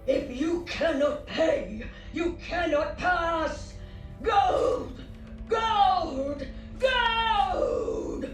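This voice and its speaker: Game creature: crazed, greedy female monster, very high wailing voice, slow, echoing from a distance. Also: animated, full character voice. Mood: frenzied rage. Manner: wailing, shrieking, drawn-out demanding cries. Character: monstrous greedy cursed female toll collector, crazed and demanding. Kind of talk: video game character acting. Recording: echoey, distant, faint music behind.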